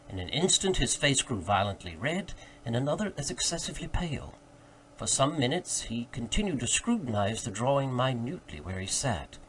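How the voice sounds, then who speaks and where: A man reading literary fiction aloud as an audiobook narrator, with expressive, scripted storytelling delivery. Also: medium pitch, rough recording. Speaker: audiobook reader. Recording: faint background noise.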